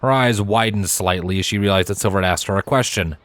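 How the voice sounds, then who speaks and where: Casting: male story narrator, lower-pitched voice, expressive scripted read of fan fiction. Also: fast, dry recording.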